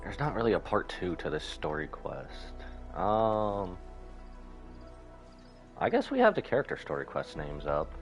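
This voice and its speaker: A man's voice: deep voice, very animated, measured pace, pauses often, soft background music. A man doing casual live-stream game commentary, rambling and thinking aloud as he goes.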